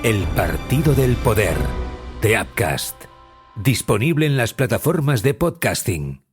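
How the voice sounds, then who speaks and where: A man's voice: mid-range voice, very animated, measured pace. A man reading dramatic scripted narration for an audio fiction trailer. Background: music.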